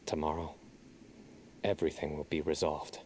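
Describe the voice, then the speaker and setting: An actor playing a stoic, resolute young desert general in a video game, serious and composed. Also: male voice, rumbling low, level tone, moderate pace, noisy background. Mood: composed, reflective.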